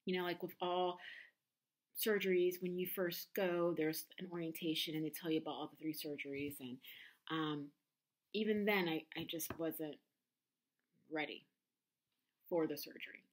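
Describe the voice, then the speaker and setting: A woman in her thirties talks candidly to her camera at home, pausing often with ums and restarting sentences. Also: deep voice, fairly even intonation. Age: 30s. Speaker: vlogger. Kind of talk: personal confessional vlog.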